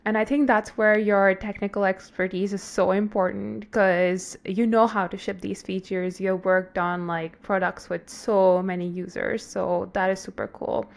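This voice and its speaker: A woman's voice: medium pitch, little pitch movement, unhurried, gravelly, few pauses. A woman podcast host with an ordinary mid-range voice. She asks questions in a casual, upbeat manner, often leaning on "like" and "um" as she goes. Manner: upbeat, filler-heavy questions.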